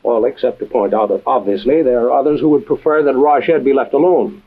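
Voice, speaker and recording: An actor playing a composed, authoritative intelligence chief and adventure storyteller, measured and dry, in a vintage radio drama with tinny sound. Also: male voice, mid-range voice, fairly even intonation, lightly acted. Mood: grave, explanatory. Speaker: host and narrator-character. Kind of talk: old-time radio adventure drama acting.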